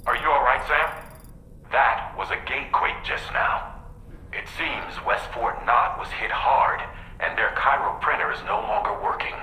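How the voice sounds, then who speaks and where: An actor playing a concerned, measured mission handler briefing a courier in a video game. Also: male voice, medium pitch, bright, forceful tone. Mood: concerned, businesslike. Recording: roomy echo, faint noise.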